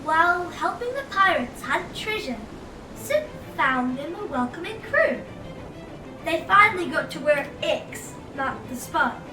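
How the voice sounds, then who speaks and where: A woman reads a picture book to children in an intensely bright, theatrical voice. Her pitch swings widely through exclamations and drawn-out words. She sounds set back from the mic in a roomy space, with music underneath. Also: animated, recorded from a distance. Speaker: storytime host and read-aloud narrator for children. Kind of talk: animated children's storybook read-aloud.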